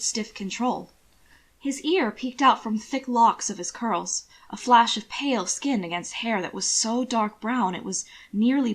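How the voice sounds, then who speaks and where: A woman reading scripted fiction aloud as narrator, in a measured, expressive storytelling manner. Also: fairly high pitch.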